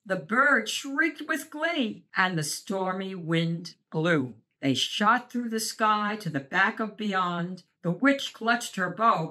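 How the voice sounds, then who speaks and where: A woman reading a children's picture book aloud, expressive and sing-song as a channel host. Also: medium pitch. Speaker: storybook reader, channel host.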